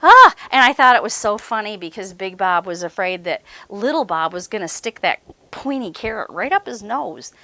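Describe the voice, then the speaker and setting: A woman's voice: medium pitch, moderate pace, no room sound. A woman hosting a story time, reading and talking expressively to young children and often asking them questions. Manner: animated, asks the children questions. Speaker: library story time host.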